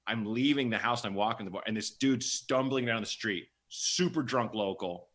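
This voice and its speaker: Bright, punchy man bantering casually. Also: on the low side, moderate pace. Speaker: comedy podcast host. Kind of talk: casual comic banter and storytelling.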